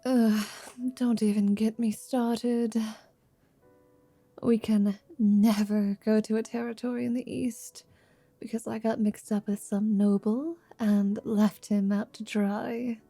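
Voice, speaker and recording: Woman acting a dark, whispery, seductive half-vampire woman. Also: fairly high pitch, little pitch movement, takes it slowly, no echo, soft background music. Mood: exasperated. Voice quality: soft, breathy whisper. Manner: whispery, teasing, languid. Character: confident, teasing, seductive half-vampire woman wizard, haughty yet affectionate. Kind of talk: intimate ASMR roleplay.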